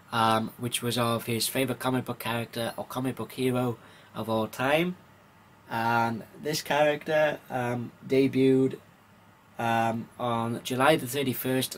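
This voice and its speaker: A man from Wales gives an informal vlog-style news rundown in a halting, hesitant way, pausing often with ums. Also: mid-range voice, a little hiss or hum. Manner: halting, hesitant delivery with frequent pauses and ums. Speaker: comics vlogger.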